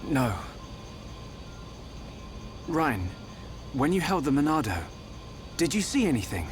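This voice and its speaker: Earnest, animated young English inventor. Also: male voice, fairly high pitch, takes it slowly. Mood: thoughtful. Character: earnest young man, inventor researching a magic sword. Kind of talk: video game voice acting. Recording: orchestral music behind the voice.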